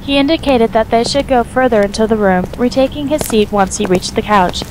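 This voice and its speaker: A woman's voice: fairly high pitch, a little fast, background noise. A woman reading scripted story narration as the narrator of an audio drama.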